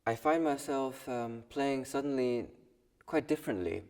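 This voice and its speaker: A young man in his twenties from South Korea, a violin student, speaking thoughtfully with pauses in an interview. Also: medium pitch, lively intonation, clean tone, in a large hall, distant mic. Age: 20s. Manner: thoughtful, soft-spoken with pauses and small laughs. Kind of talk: personal documentary interview.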